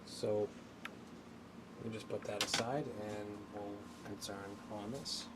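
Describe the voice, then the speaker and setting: Man, lowish pitch, halting hobbyist presenter, unscripted tutorial delivery, clearly audible noise on camera mic. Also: steady tone. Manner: halting, thinking aloud while working. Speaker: hobbyist presenter of a radio repair video. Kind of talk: casual unscripted hands-on tutorial.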